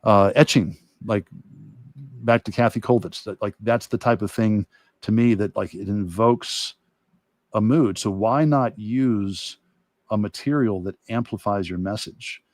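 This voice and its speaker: A man who teaches art, talking casually and thinking aloud in a halting way during a livestream demo. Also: on the low side, darkish tone, dry recording, studio quality. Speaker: art instructor and illustrator.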